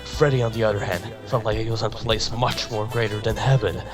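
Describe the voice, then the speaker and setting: A man's voice: fairly low pitch, fairly even intonation, fairly quick. A man giving a dramatic reading of fan fiction, sometimes stumbling and repeating words. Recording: music behind the voice. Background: music.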